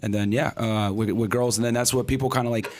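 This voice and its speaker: A man in his thirties telling his story as a podcast guest, in casual, rapid talk full of fillers and acted-out quotes. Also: mid-range voice, steady tone, darkish tone, slight background noise. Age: 30s.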